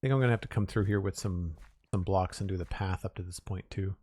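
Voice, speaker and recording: A man with a low, raspy voice that sounds a little hoarse. He thinks aloud in a loose, rambling way while he builds. The sound is close and dry, with no room echo at all. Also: fast talker, mellow. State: slightly hoarse. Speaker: streamer. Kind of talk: casual live-stream chat while building.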